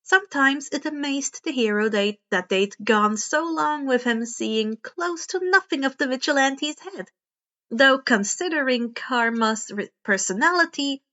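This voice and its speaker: A woman's voice: on the high side, a bit bright. A woman reading fan fiction aloud as narrator, now and then stumbling and rereading phrases. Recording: dull, muffled lo-fi home recording.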